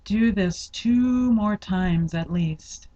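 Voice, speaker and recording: Female meditation guide, mid-pitched voice, very unhurried and deliberate delivery, slightly muffled lo-fi sound. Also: mellow. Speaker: yoga nidra meditation guide. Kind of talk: guided meditation, slow instructional narration.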